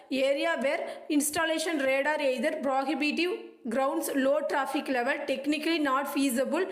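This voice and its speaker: A woman with an Indian accent reading study notes aloud in a choppy way, dropping small connecting words. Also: high-pitched. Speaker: presenter of an educational video. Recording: echoey room.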